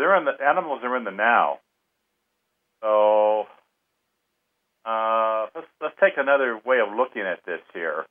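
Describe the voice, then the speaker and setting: A man on a radio show leading an energy session and answering questions, speaking hesitantly with repeated words. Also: fairly low pitch. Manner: hesitant, guided-meditation delivery. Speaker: energy healer guest. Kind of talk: radio talk. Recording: thin phone-line sound.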